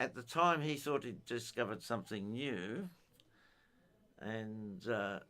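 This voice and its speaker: An older man giving an informal technical explanation in a halting, methodical way. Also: on the low side, gravelly, sharp tone. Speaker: amateur radio enthusiast giving a technical talk.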